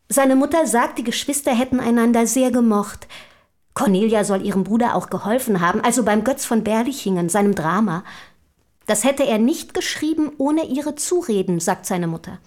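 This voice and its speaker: Actress playing eager self-assured young rich lady in a radio play, highish voice, formal and articulate. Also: a little mellow, close to an everyday voice.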